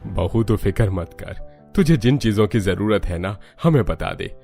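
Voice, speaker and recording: Man playing a low-voiced, kindly older father-in-law. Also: animated, measured pace, gravelly, dark tone, soft background music. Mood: reassuring. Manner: kindly, reassuring.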